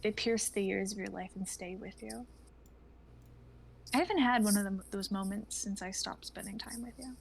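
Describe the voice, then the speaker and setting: A woman's voice: medium pitch, normal speed, creaky, slight background noise, lightly acted. A woman acting a regretful young woman confessing love to an old friend, earnest and hesitant, in a dramatic livestream reading. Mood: wistful, earnest.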